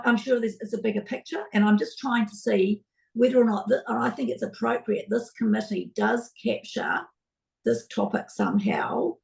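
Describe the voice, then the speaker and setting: Croaky, hesitant woman councillor. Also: medium pitch, recorded from a distance, poor audio. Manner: hesitant, searching for words. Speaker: ward councillor. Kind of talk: committee discussion. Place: council chamber meeting.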